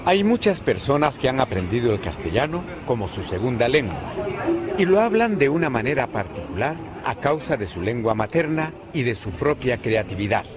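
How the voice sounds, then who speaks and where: Expressive male narrator. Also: medium pitch, normal speed, crowd noise. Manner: clear, explanatory. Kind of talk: scripted narration.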